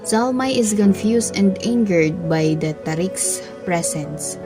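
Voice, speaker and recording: A woman reading scripted story narration in a slow, measured way. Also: mid-range voice, lively intonation, over music.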